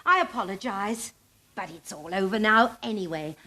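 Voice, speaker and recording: An actress playing a flustered, doting middle-aged English housewife in a TV sitcom, with a theatrical, emotive way of talking. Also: female voice, medium pitch, moderate pace, sharp tone. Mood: flustered, pleading. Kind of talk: TV sitcom acting. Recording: faintly noisy old TV sitcom copy.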